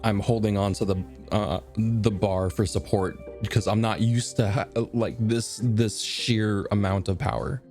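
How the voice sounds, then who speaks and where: Slow-talking man playing a tabletop game. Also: fairly low pitch, fairly even intonation, darkish tone, soft background music. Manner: halting, thinking aloud. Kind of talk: casual improvised role-play.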